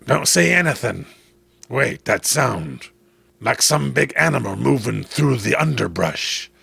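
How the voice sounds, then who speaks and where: A man voices an ancient old hermit who is secretly a noble alien lord in a horror comic. He speaks slowly and solemnly, with a gravelly, husky voice and righteous resolve. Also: medium pitch, very animated, pushed and bright, no echo, voice pushed down, heavily acted. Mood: wary.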